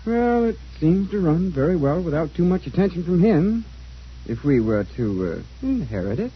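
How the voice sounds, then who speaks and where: A muffled old radio recording of a sly, self-satisfied young son. His voice is husky and swings up and down, glib and needling in a radio-drama manner. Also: a little high, very animated, moderate pace. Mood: defiant. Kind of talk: old-time radio acting.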